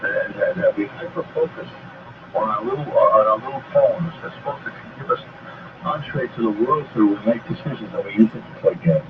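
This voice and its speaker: A man's voice: lowish voice, brisk, far from the mic, phone-quality sound, background noise. A man hosting a call-in radio talk show, speaking in an emphatic, exhorting, repetitive way, heard over a shortwave broadcast. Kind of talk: impassioned political talk radio monologue with call-in appeals.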